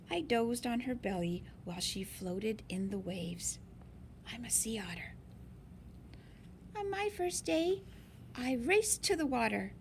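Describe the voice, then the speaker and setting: A woman reading a picture book aloud in an expressive, deliberate way for young listeners. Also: on the high side, a little hiss or hum. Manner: slow, deliberate, animated phrasing for young listeners. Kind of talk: expressive children's read-aloud.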